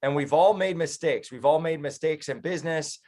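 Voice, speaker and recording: A man's voice: fairly high pitch, moderate pace, darkish tone. A man with an American accent giving conversational political commentary as an interview guest, in an earnest, speechifying manner. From United States. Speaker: political activist and professional speaker, interview guest.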